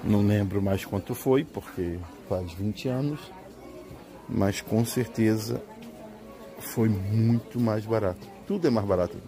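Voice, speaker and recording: A man's voice: on the low side, a little slow, over music. A middle-aged Brazilian man vlogging casually on a handheld phone as he walks through a large indoor market. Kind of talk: casual walking tour narration. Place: large indoor swap meet. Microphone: handheld phone camera. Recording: busy indoor market crowd behind.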